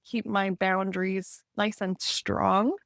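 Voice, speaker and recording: A woman's voice: medium pitch, level tone, slow, vocal fry, fairly bright tone, dry recording, clean studio sound. A woman from Canada talking candidly and casually as a client in a healing session, rambling and self-reflective, often saying "like". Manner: informal, rambling, self-reflective, with frequent 'like'. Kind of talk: candid, casual therapeutic conversation.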